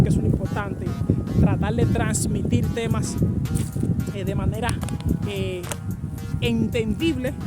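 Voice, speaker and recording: A man with a Dominican accent, casually giving advice to his viewers in a rambling vlog, full of fillers and restarts. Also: high-pitched. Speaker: vlogger. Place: outdoors with a scenic view. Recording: loud music under the voice.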